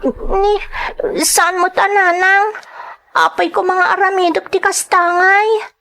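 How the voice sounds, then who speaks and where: A woman playing a troubled, pleading high school girl in a dramatic audio serial, speaking in an earnest, plaintive way. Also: little pitch movement, takes it slowly, sharp tone, full character voice. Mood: upset, protesting. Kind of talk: dramatic Ilocano radio-style serial acting.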